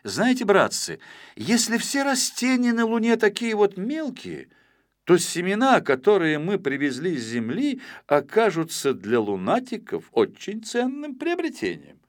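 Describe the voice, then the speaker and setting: A man voicing a small, confident male expedition leader in a children's audiobook, brisk and earnest. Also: sits high, wildly swooping pitch, voice pushed up, exaggerated voice. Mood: reasoning.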